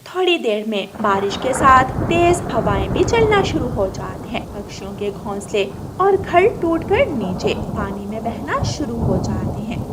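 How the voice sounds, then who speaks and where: Over clear background noise, a woman tells a children's story in a fairly high voice. Her scripted narration moves with very lively, animated intonation.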